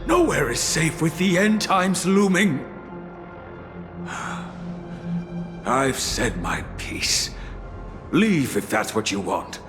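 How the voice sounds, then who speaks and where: A proud, devout game priest, declaims in a fairly high male voice with slow, sweeping intonation. He is grave, formal and immovable, heard in long reverb over music. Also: big pitch swings. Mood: stern, resolute. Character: stubborn, devout male priest elder of a wandering people. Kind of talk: dramatic video game voice acting. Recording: long reverb under loud background music.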